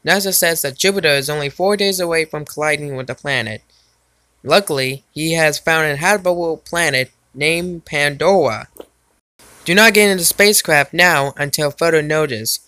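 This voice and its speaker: A man's voice: fairly high pitch, normal speed, sharp tone, no room sound. Robotic male emergency alert announcer. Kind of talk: formal scripted emergency broadcast announcement.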